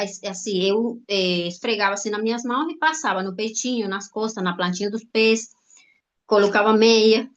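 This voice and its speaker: A woman with a Cuban accent, a native Spanish speaker, giving an informal testimonial haltingly, with frequent check-in tags. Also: medium pitch. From Cuba. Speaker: guest speaker, doctor. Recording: dull lo-fi online-call audio.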